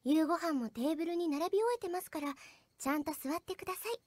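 An actress playing a polite, obsessive teenage little-sister type in an anime drama CD, gentle and chillingly calm in manner. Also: female voice, extremely high pitch, quick pace. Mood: polite, cheerful.

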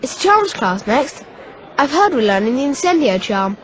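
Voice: sits high, sing-song, normal speed. An actor playing an earnest, hesitant young boy wizard in a video game, speaking politely and with wonder. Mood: puzzled. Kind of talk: scripted video game acting.

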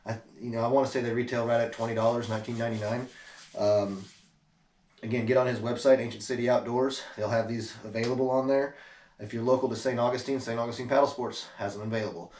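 A man's voice: mid-range voice, steady tone, quick pace, darkish tone. An American man in his thirties giving a laid-back, conversational product review to camera. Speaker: product reviewer, kayak angler. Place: small room indoors. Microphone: camera mic a few steps away. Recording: roomy echo, noticeable background noise, clicks.

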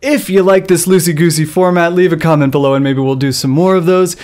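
A man in his thirties hosting a loose tech tutorial from a small studio, speaking close into a boom-arm microphone with playful, goofy asides. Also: steady tone, quick pace, darkish tone. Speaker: video tutorial host. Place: small studio with a blue backdrop. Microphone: studio mic on a boom arm, close.

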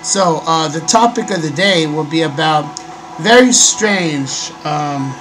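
Hoarse young man with a halting vlog delivery. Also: a little high, animated, fairly bright tone. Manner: halting, many ums and throat clearing. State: hoarse from a cold. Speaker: host. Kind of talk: casual webcam vlog. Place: small bedroom, indoors. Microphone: desk microphone on a stand. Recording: noisy home recording.

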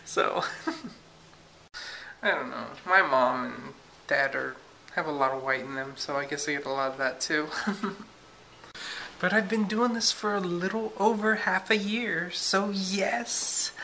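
A woman's voice: fairly low pitch, slow, creaky, long pauses, bright, forceful tone, slight background noise, low-quality recording. A young woman vlogging at home at night, talking casually and giggling to a handheld camera. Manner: chatty and giggly, lots of fillers. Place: home kitchen at night, then living room. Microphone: camera held at arm's length.

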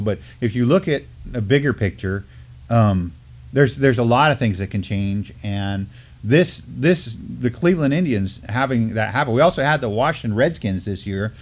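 A man in his fifties speaks as co-host of a news live stream. He talks conversationally, moving between commentary and storytelling. His delivery is earnest and emphatic, with a medium pitch. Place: indoor studio at a desk with a backdrop.

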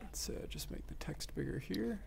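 Creaky-voiced man, casual meeting host. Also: high-pitched, lively intonation, normal speed. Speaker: seminar host. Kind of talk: casual talk while operating software. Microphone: VR headset microphone. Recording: faintly noisy headset voice chat.

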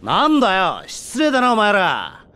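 Cocky, animated young rival. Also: male voice, high voice, unhurried, full character voice. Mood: miffed, sheepish. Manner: brash, offhand, grumbling. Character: cocky, casual red-haired young man rival. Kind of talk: Japanese game cutscene acting.

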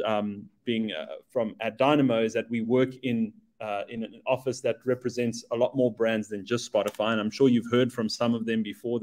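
A man's voice: mid-range voice, a little mellow. A man from Zimbabwe, a team lead giving a casual business presentation over a video call, chatty and self-deprecating, with many fillers.

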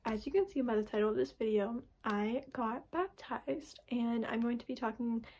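A young woman chatting casually to camera in her bedroom, her voice a little raspy from being sick, rambling with many fillers and restarts. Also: a little high, normal speed, darkish tone. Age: young adult. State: raspy from recent illness. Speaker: vlogger. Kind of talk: casual personal vlog. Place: bedroom, indoors. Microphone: camera in front at close range.

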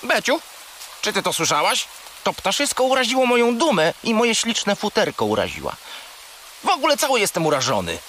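An actor voicing a small furry toy companion, childlike, greedy and melodramatic, in a children's audio drama. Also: male voice, high-pitched, huge pitch swings, fairly quick, sharp tone, slight background noise, full character voice. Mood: alarmed, offended. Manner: plaintive, melodramatic.

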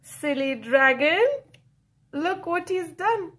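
A woman reading a picture book aloud to children, expressive and playful, drawing out key words for emphasis. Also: sits high, takes it slowly. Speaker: children's storyteller.

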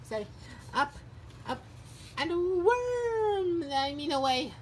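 A very high, squeaky cartoon sea sponge, excitable and whiny. He is dramatic and exclamatory, in a homemade puppet show with some background noise. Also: slow, full character voice. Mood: eager. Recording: noisy homemade recording.

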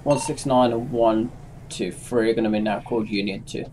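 A man's voice: lowish voice, fairly even intonation, moderate pace, background noise. A man chatting casually as a fellow roleplay officer, easygoing with short replies. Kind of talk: casual voice chat.

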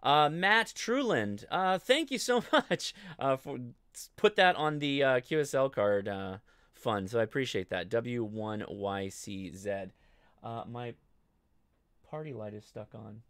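Clear-voiced American man, casual explainer. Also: mid-range voice, lively intonation, measured pace, a bit bright, dry recording, clean studio sound. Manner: informal, conversational, thinking aloud. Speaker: host of a ham radio show. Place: indoor home studio.

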